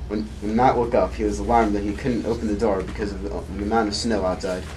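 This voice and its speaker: A man's voice: on the low side, flat delivery, fast talker, a little mellow. A man narrating an amateur puppet show, reading hesitantly with frequent restarts. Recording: noisy amateur recording.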